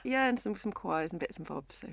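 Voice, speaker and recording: Gravelly-voiced woman singer, relaxed chat. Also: medium pitch, a little fast, dry recording. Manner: relaxed, self-deprecating, repeats words while thinking. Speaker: singer, interview guest. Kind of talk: radio interview. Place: radio studio. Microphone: studio microphone.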